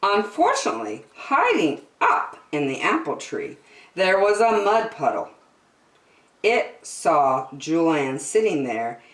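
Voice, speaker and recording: A woman reading a picture book aloud to children, expressive and deliberate in her emphasis. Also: medium pitch, far from the mic. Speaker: children's story-time reader. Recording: echoey, roomy sound.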